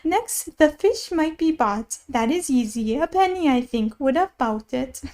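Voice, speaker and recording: A dithery storybook queen coos in a very high, pigeon-like voice, as a woman reader puts her on. She draws out her words slowly and sing-song. Her tone is soft and fluttery. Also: animated. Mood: cooing. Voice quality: high, cooing voice. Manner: slow, sing-song recitation.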